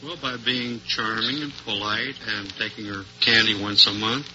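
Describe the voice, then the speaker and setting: A man's voice: fairly low pitch, fairly even intonation, normal speed. A man in his forties from Seattle, answering politely and briefly as a contestant on an old radio quiz show. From Seattle, United States. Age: 40s. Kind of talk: answering interview questions. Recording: noisy muffled old radio recording.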